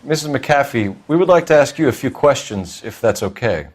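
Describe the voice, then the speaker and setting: An actor playing an earnest, polite but firm American police detective in a video game drama, questioning in a formal, measured and insistent way. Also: male voice, mid-range voice, animated, close to an everyday voice. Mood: formal, probing.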